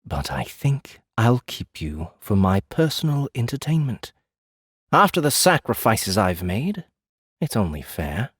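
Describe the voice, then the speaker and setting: An actor playing a calm, smugly possessive male fae captor in an audiobook drama, measured and quietly taunting. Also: medium pitch, breathy edge, dry recording, clean studio sound, full character voice. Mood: softly menacing.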